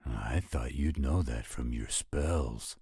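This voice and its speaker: Guy doing a super deep gruff werewolf, slow and teasing, audio roleplay. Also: mellow, no echo, clean studio sound, exaggerated voice. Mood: easygoing, teasing. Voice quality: very deep, husky. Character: gruff, teasing male werewolf with a laid-back streak.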